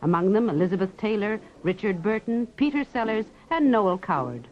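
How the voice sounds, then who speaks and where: A woman reporter giving polished, lively scripted newsreel narration and asking interview questions. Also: medium pitch, moderate pace, a little hiss or hum. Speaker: reporter and presenter. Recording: dull 1960s film soundtrack.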